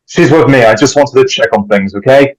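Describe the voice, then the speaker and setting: Polite small-town deputy, read by a man, mid-pitched voice, mild. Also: brisk, natural voice, rough recording. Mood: defensive. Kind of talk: informal script table read.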